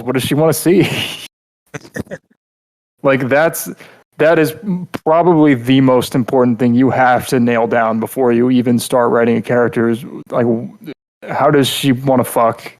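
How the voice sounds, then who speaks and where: A man's voice: mid-range voice, normal speed, a little mellow, low-quality recording. Rambling, blunt male podcast co-host. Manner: rambling, blunt, heavy use of filler "like". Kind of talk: casual podcast critique.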